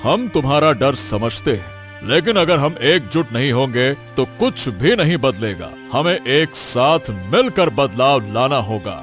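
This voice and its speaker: A man voicing a cautious village friend, lively pitch. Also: unhurried, faint music behind. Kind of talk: cartoon story voice acting.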